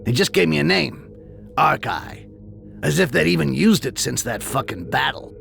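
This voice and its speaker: A man voicing a cynical, foul-mouthed goblin mercenary in a video game, gravelly and sardonic. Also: fairly high pitch, expressive, moderate pace, a bit bright, soft background music, exaggerated voice. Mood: grim, sardonic. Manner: sardonic, streetwise, crude. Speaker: character narrator.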